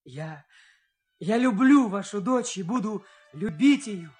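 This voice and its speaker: An actor playing an earnest young hero in love in a fairy-tale audio play, humble and heartfelt. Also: male voice, high voice, animated, a little mellow, soft background music. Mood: grateful, loving. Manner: humble, heartfelt, halting delivery.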